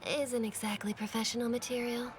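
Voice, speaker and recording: An actress playing a wry, inquisitive reporter in a video game, talking in a knowing, conversational way. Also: female voice, a little high, level tone, fairly quick, background noise, natural voice. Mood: reflective. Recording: game music behind.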